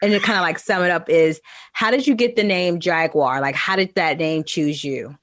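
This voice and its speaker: A woman's voice: lowish voice, moderate pace, fairly bright tone. A woman hosting a podcast, asking questions in an easygoing way. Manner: easygoing, with short listening replies. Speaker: podcast host. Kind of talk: casual interview.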